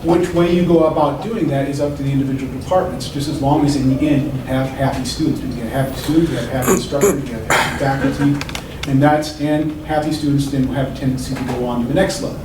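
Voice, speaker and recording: A man's voice: medium pitch, fairly even intonation, fast. A man on a panel explaining his department's approach, with frequent restarts. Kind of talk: panel discussion. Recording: echoey room from far off, noisy.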